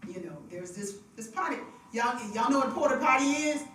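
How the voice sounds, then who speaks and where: A woman's voice: high-pitched, lively intonation, a bit bright. A woman hosting a live devotional, chatting and telling stories with lots of laughter, using a stage mic in a music room. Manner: chatty, laughing, drawn-out storytelling. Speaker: devotional host and singer. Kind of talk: casual spiritual talk with storytelling. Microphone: stage microphone with monitor feedback. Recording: echoey room from far off.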